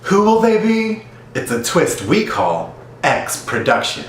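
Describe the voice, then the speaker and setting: A man hosts a reality competition show with a dramatic announcer's delivery, his pitch leaping up and down. He sounds roomy and a bit off the mic, with a faint hiss underneath. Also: wildly swooping pitch, a little slow. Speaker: host. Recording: echoey room, distant mic, faint hiss.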